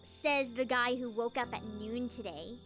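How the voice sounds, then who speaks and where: A high-pitched, spirited little sister from a video game, acted by a young woman. She talks casually and expressively, with a sassy, teasing edge. Faint music plays behind her. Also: steady tone, moderate pace, fairly bright tone, close to an everyday voice.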